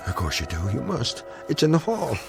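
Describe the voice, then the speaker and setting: An actor playing a paranoid, obsessed gambler husband in a radio drama, intense and given to stammering repetitions. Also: male voice, medium pitch, huge pitch swings, fairly quick, gravelly, soft background music. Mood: panicked. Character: obsessed, paranoid gambler husband, grimly stubborn then panicked.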